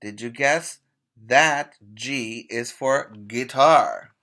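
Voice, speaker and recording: A man teaching preschoolers by reading aloud in a deliberate, emphatic way, pausing to put questions to his young listeners. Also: medium pitch, sharp tone. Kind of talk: read-aloud lesson for young children.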